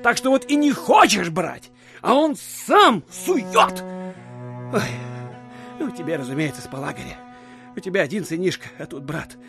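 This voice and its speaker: An actor playing an envious provincial official in a period audio drama, with a husky voice and a folksy, sardonic way of talking. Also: male voice, high-pitched, very animated, normal speed, music in the background, full character voice. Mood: grumbling, sardonic. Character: grumbling, envious provincial male official of tsarist Russia.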